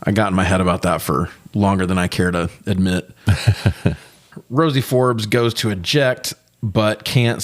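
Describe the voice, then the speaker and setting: A man with a fairly low-pitched voice co-hosts a casual movie podcast. He tells the story loosely with jokes and plenty of profanity, getting worked up when something riles him. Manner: profane, jokey, animated when riled. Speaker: podcast co-host. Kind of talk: casual movie recap chat.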